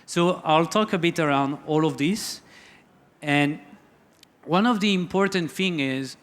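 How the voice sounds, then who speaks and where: A man with a French accent giving a relaxed, loosely worded talk on wildlife photography to an audience, full of fillers and restarts. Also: on the high side. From France. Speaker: photography lecturer. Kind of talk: conference talk.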